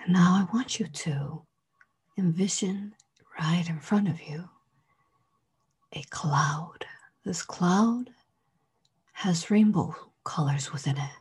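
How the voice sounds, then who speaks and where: A woman leading a guided meditation in a soothing, hypnotic way, with drawn-out, repeated phrases and a breathy voice that often drops into a whisper. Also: on the low side, a little mellow.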